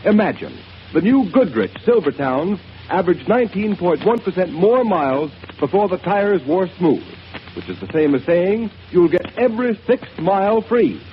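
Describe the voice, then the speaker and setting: A man's voice: high-pitched, big pitch swings, moderate pace. A male radio announcer reading an emphatic 1930s commercial. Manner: emphatic, salesy. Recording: dull old radio broadcast with hiss.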